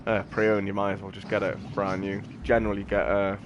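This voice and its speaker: A man's voice: on the low side, completely flat delivery, fairly quick, highs cut off, noisy background. A man giving casual gameplay commentary in a rambling, matter-of-fact, slangy way. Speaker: game streamer. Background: game music and sound effects.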